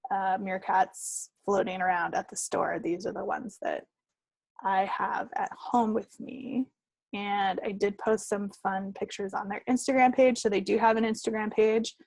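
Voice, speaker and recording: A woman's voice: medium pitch, fairly even intonation, measured pace, vocal fry, dry recording, low-quality recording. A woman co-hosting a casual podcast from home, chatty and playful in her delivery. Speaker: podcast co-host. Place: at home, recording an online call.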